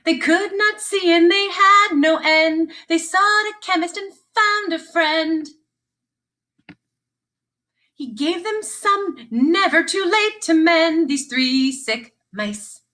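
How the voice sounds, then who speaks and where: A woman teacher giving a sing-song read-along of a children's story, with deliberate, repeated phrasing. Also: extremely high pitch, bright, forceful tone. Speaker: teacher reading aloud.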